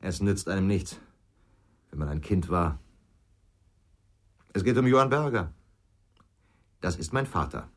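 A middle-aged former policeman in a radio crime drama. His voice sits low, and he talks in short, grave sentences with a brooding weight. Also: expressive, unhurried, long pauses. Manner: terse, grave. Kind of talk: naturalistic radio drama acting.

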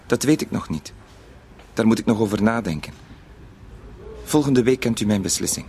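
A man's voice: lowish voice, expressive, normal speed, a little mellow, slight background noise. An actor in a radio play, a man, playing an anxious, cornered middle-aged bank clerk who speaks hesitantly and pleadingly. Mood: weary, resolute. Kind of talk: Dutch-language radio drama acting.

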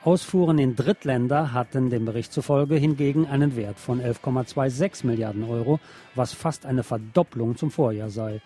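A man reading scripted news in a measured, formal manner. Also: mid-range voice, warm tone, slightly rough, soft background music. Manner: measured, formal read-out delivery. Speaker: news reader.

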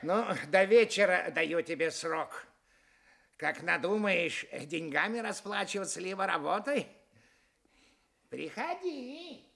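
A cunning, greedy rich master in a fairy-tale radio play. His delivery is slow and mocking, swooping widely in pitch, with a creaky edge. He sounds sly and pleased with himself as he bosses others around. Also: animated, pushed and bright, heavily acted. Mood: gloating, pressing.

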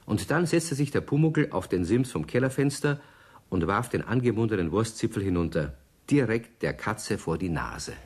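A man's voice: lowish voice, animated, normal speed, a little mellow, husky. A man narrating a children's radio play as a storyteller, reading from a script. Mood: vivid storytelling. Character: male storyteller of a children's tale.

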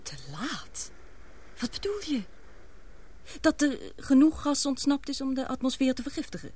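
An actress playing an earnest young woman in a radio play, hesitant, questioning and expressive. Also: sits high, normal speed. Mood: anxious, puzzled. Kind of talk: radio drama acting.

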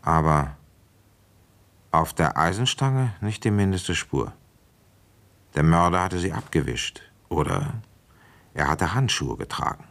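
A man acting as a methodical police inspector in a radio play, speaking in a measured, confiding way. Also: low voice, animated, a bit bright, exaggerated voice. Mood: matter-of-fact. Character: methodical male police inspector, musing and dutiful. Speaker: radio drama actor, narrator and lead. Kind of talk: German radio play narration and dialogue.

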